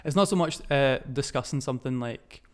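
A man with a clean, smooth voice at a medium pitch hosts a relaxed conversational podcast. He speaks informally, often stopping mid-thought to correct himself and start again. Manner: informal, halting, self-correcting. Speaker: podcast host. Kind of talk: casual conversational podcast chat.

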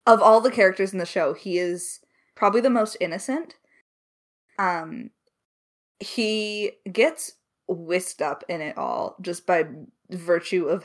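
Woman chatting candidly in a Q&A. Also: lowish voice. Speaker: lead writer of an audio drama. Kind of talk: casual Q&A conversation.

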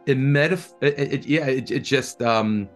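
A man's voice: medium pitch, measured pace, faint music behind. A man being interviewed as a producer, talking earnestly and enthusiastically, often repeating words as he searches for his phrasing. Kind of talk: reflective interview talk.

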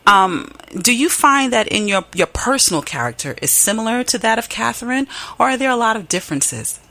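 Lively, excited woman radio host. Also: on the high side, brisk. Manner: excited, gushing. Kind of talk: upbeat interview hosting.

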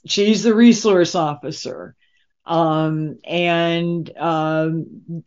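Slow, low-voiced woman interviewer, dull recording. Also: darkish tone. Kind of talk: interview, discussing a character.

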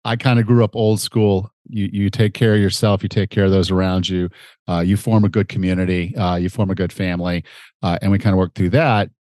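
Middle-aged male podcast host, low pitch, nasal from a cold, dry close sound. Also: little pitch movement, a little fast, darkish tone, no echo. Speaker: podcast host and fitness coach. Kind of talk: conversational podcast hosting.

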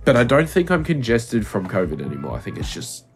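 Lively laughing man, congested. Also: mid-range voice, fairly quick, faint music behind. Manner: laughs often, swears, trails off mid-sentence. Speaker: podcast co-host. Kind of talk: casual chat. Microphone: remote call mic.

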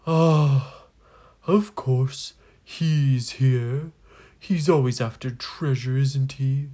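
A woman's voice: deep voice, very animated, takes it slowly, dark tone, breathy edge, voice pushed up, full character voice. A woman voicing a lonely, mournful old ghost in a children's story, with sighs and exclamations. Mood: knowing. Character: mournful, lonely old island ghost, long without hands.

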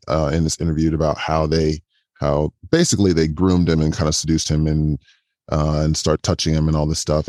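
A man with a voice modulated lower, chatting as a podcast guest in a calm, inviting way, often restarting his sentences. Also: no room sound, clean studio sound. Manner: chatty, with frequent restarts and repetitions. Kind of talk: casual interview chat.

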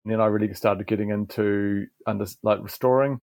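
A middle-aged man with a New Zealand accent, a garden manager, explaining in a relaxed podcast interview with frequent restarts. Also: on the low side, brisk, dry recording.

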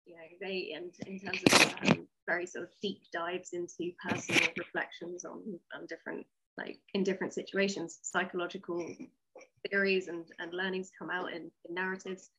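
A woman's voice: fairly low pitch, little pitch movement, normal speed, heavy background noise. A woman talking candidly and with firm opinions as an interview guest, explaining things conversationally. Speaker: interview guest, sci-fi writer.

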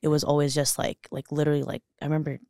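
A young woman talks openly on a podcast, her voice catching in a gravelly fry. She circles back, restarts, and fills gaps with "like" and "you know." The sound is dry and close. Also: low voice, little pitch movement, rapid-fire, dark tone, dry recording. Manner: earnest, emotional, many fillers and restarts. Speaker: podcast guest. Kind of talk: candid interview conversation.

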